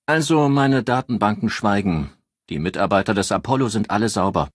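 A man's voice: lowish voice, fairly quick, slightly rough, dry recording, clean studio sound, voice pushed up. A man voicing a matter-of-fact male police researcher reporting drily in a crime audiobook. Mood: dry, reporting.

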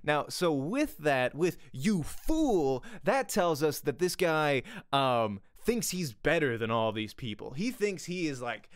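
Guy in his 30s teaching acting on stream, kinda high clear voice, super chatty, keeps checking in. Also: very animated, takes it slowly, hardly any pauses. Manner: conversational, checks in often with "right?". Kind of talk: casual livestream lesson on script analysis. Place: small home room. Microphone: close studio microphone with pop filter, headphones.

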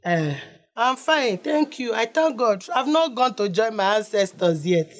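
A woman's voice: a little high, expressive, a little slow. A woman with a Nigerian accent playing an aging mother who nags and guilt-trips her son, wailing and mixing in pidgin. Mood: pleading. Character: aging Nigerian mother, dramatic, nagging, self-pitying. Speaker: mother in a comedy skit. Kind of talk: skit acting.

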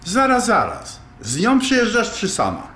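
A man's voice: sits high, wildly swooping pitch, normal speed, a bit bright, full character voice. A man playing a grumpy, disapproving middle-aged father, blunt and exasperated, in an audio drama. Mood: stern, angry. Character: grumpy, stern, disapproving father of adult daughter. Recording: echoey room, faint noise.

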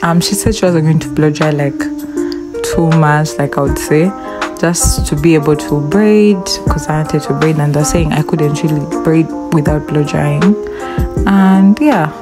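A woman's voice: sits low, unhurried. A young woman in her twenties chatting casually into a phone camera, laughing now and then. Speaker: vlogger. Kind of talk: casual vlog narration. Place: campus cafeteria, salon, home room. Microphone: phone camera held at arm's length. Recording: loud music and crowd behind.

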